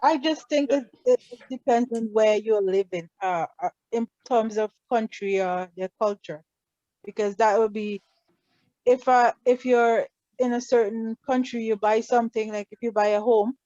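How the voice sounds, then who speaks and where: Female participant, fairly high-pitched, tentative and halting, dry and slightly dull recording. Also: darkish tone, no echo. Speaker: workshop participant. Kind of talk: tentative opinion in discussion. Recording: dull, dry lo-fi call audio.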